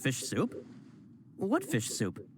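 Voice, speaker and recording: A man's voice: medium pitch, wildly swooping pitch, slow pace, slight background noise. An actor playing an earnest male subordinate agent in a video game, deferential, anxious and emphatic. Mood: flustered. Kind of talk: scripted video game voice acting.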